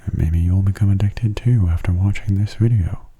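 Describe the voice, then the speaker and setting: A man giving soft-spoken ASMR gameplay commentary in a hushed, breathy, unhurried and casual way, close to the microphone. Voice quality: breathy, husky low voice. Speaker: gaming ASMR video host. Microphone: close mono microphone.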